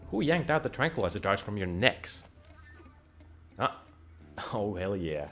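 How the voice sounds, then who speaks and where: A man with a Finnish accent chatting and joking over a game at home, his voice jumping up when excited. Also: on the low side, a little slow, faint music behind. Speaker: game streamer. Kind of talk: casual game commentary. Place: home room.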